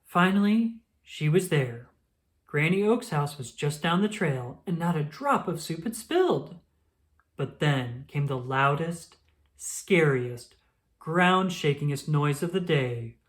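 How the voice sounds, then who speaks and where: A woman reads a children's story aloud. Her delivery is very expressive, with wide swings of pitch and a slow, measured pace.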